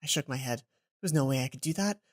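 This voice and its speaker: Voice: medium pitch, fast, voice pushed down, close to an everyday voice. Someone reading as a lovestruck, nervous young woman. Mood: conflicted. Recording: music behind the voice.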